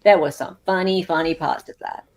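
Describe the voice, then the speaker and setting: A woman's voice: sits low, fairly even intonation, brisk. A woman co-hosting a live show, talking casually in a panel chat.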